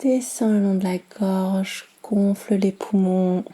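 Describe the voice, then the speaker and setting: A woman guiding a yoga nidra meditation in a soft, soothing, unhurried manner with long pauses. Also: medium pitch, fairly even intonation. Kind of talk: guided meditation.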